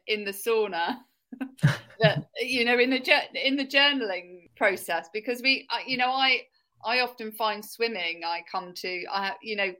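Podcast host lady, bright crisp voice, super enthusiastic, keeps saying she loves it. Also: fairly high pitch. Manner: enthusiastic, affirming. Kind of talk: interviewing a guest.

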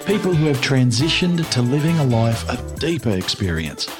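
A male podcast host giving a steady, earnest, welcoming scripted introduction and then chatting with his guest. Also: mid-range voice, normal speed, over music.

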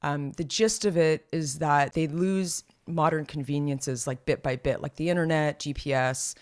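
A podcast co-host in her thirties talks in a loose, rambling way, stopping and restarting her sentences often. Her voice is slightly raspy and hoarse. She sounds relaxed and conversational. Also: sits low, moderate pace. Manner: chatty and rambling, many fillers and restarts. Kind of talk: casual chat.

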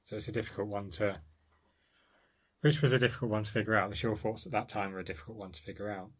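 Male researcher, lowish pitch, informal scholarly conversation, discursive and explanatory with careful qualifications. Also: fairly even intonation, fast.

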